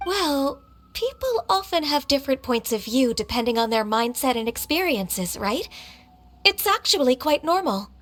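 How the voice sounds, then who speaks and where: Casting: modest, polite young heroine, very high, gentle delivery for a fantasy game. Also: female voice, sing-song, normal speed, exaggerated voice. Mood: reassuring. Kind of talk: video game voice acting. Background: music.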